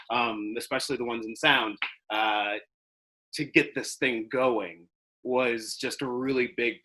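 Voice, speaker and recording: Young man with a bright, halting delivery. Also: medium pitch, rough recording. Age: young adult. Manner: halting, thoughtful. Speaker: student theatre artist being interviewed. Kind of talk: reflective interview talk.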